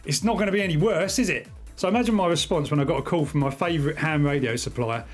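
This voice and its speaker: Higher-pitched middle-aged British man vlogging. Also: fast, faint music behind. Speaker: video host, radio hobbyist. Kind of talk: casual vlog talk to viewers.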